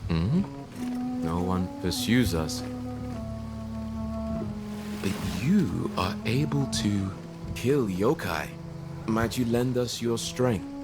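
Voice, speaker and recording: An actor playing a stern, loyal samurai retainer in a video game, speaking in a formal, measured, old-fashioned way. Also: male voice, on the low side, animated. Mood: devoted. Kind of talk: video game cutscene dialogue. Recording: game audio under loud music.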